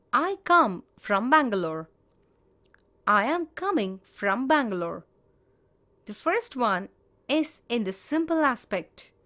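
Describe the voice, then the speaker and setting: A woman teaching grammar, reading example sentences in a measured, deliberate way. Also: fairly high pitch, bright, forceful tone. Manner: measured, spells out each example. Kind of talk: slow, deliberate grammar lesson.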